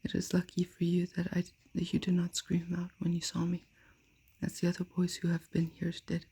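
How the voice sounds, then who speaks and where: A bossy giantess from a storybook, whispered by a woman. The breathy whisper stays dark and utterly flat, with a gravelly edge. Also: fairly low pitch, normal speed. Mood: urgent. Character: bossy giantess, housewife of a man-eater.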